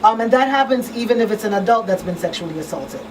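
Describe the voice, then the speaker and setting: A woman's voice: medium pitch, expressive, quick pace, far from the mic. Female talk radio panelist, conversational explainer, slightly off-mic with light background noise. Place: small radio studio, indoors. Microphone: studio microphone on boom arm. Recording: roomy off-mic sound, faint background noise.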